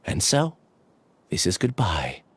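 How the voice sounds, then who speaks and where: A man playing a solemn, resigned researcher in a video game, speaking in an earnest, measured way. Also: low-pitched, wildly swooping pitch, a bit bright, a little hiss or hum, full character voice. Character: solemn, resigned male researcher bidding farewell.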